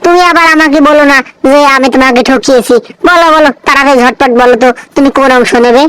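A performer voicing a cunning, smooth-talking cartoon fox who coaxes with false friendliness. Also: high voice, fast talker, fairly bright tone, no room sound, poor audio. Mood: feigned hurt, urging. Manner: coaxing, wheedling, falsely friendly. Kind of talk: children's folk-tale cartoon dialogue.

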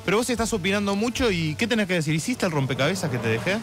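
Pompous, high-pitched bossy editor. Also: male voice, expressive, rapid-fire. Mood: bossy, dismissive. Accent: Argentine, Buenos Aires. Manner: domineering, interrupting, repetitive. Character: pompous, capricious bossy male newspaper editor. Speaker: radio show host. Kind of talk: comic radio sketch acting. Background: music.

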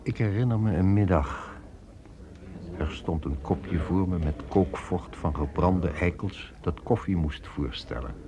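An actor playing a weary, brooding businessman who narrates wartime memories in a reflective, measured way for a radio drama. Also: deep voice, lively intonation, crowd noise. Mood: brooding, bitter.

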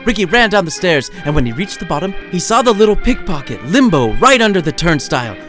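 A man narrates a short story in a slightly high voice with animated, swooping intonation. His delivery is dramatic and playful, and music plays clearly behind him. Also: fast. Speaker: author reading his own short story. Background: music under the voice.

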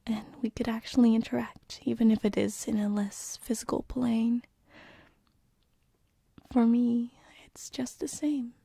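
A woman playing a tender, lovestruck succubus-turned-sleep paralysis demon in an ASMR roleplay, with a soft, breathy whisper and an intimate, hesitant manner. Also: fairly high pitch, dry recording, clean studio sound, full character voice. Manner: intimate, hesitant, slow and soothing. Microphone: close binaural microphone.

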